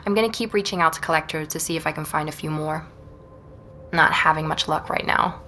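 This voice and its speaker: An actress playing an earnest, determined young woman investigator in an audio drama, speaking in a measured, reflective way. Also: low-pitched, bright, forceful tone, slight background noise. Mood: thoughtful, weary. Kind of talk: audio drama, conversation and first-person narration.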